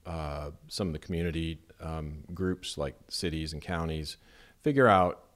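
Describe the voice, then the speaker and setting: Interview guest: deep-voiced middle-aged architect from Las Vegas, measured and thoughtful, lots of ums. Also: male voice, a bit bright. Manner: measured, thoughtful, with many fillers. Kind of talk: relaxed conversational interview.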